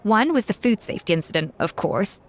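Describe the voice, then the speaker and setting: An actress playing a poised female chef in a video game, speaking in a calm, measured, articulate way. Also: mid-range voice, natural voice.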